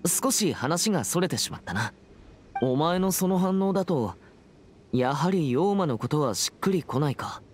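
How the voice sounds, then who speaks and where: A man's voice: a little high, expressive, normal speed, slight background noise, lightly acted. A young man voicing a serious, earnest teenage boy exorcist in a Japanese anime game, with a formal, reserved and polite manner. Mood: earnest, composed. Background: background music.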